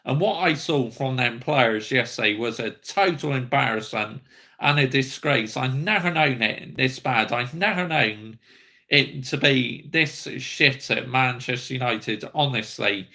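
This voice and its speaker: A man's voice: mid-range voice, a little slow, pushed and bright. A man, bluntly ranting and swearing as host of a football fan livestream. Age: 30s.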